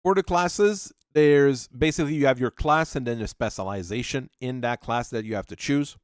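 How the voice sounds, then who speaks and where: A man at a middle pitch, thinking out loud as he builds a tabletop game character. He reads rules aloud in a halting, musing way. His voice is close and dry, with no room sound around it. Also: no room sound. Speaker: channel host. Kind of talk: talking through tabletop character creation.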